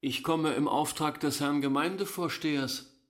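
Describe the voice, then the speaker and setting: A man's voice: fairly high pitch, normal speed, no echo, studio quality, lightly acted. A man playing a resentful village schoolteacher in a radio drama, speaking in a formal, officious, disapproving way. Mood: officious, disdainful. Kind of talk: German radio drama acting.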